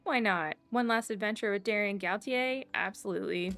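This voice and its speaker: A woman streaming a game in a mid-pitched voice with clear vocal fry. She comments casually and musingly, with music playing behind her. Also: normal speed, a bit bright. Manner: musing, reads her dialogue choices aloud.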